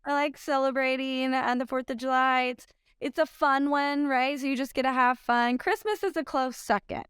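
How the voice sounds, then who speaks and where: A woman in her thirties from Oklahoma, a pro disc golfer, answering interview questions in an upbeat, chatty way with frequent ums and quick laughs. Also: high-pitched, steady tone, fairly bright tone, clear, resonant tone, dry recording, studio quality.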